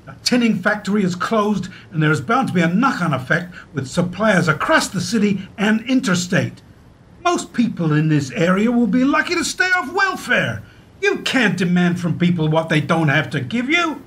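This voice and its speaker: A man's voice: high voice, sing-song, normal speed, a bit bright. A man acting an indignant small-town bank manager in an amateur radio play, sarcastic and pushing back. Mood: troubled.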